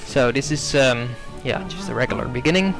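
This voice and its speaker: A young man whose first language is Dutch talks through his own strategy game match in a gravelly voice, with clear music playing underneath. He hesitates often, fills gaps with ums and corrects himself mid-sentence. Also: mid-range voice, expressive, fairly quick, fairly bright tone. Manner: hesitant, many fillers and self-corrections. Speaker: gameplay commentator. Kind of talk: casual gameplay commentary.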